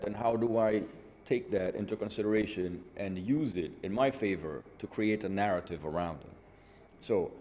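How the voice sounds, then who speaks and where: A man's voice: on the low side, a little mellow, a little hiss or hum. A middle-aged man from northern Italy, an artist being interviewed, answering in a reflective way with frequent "you know" asides. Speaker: guest artist. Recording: reverberant hall with faint ambience.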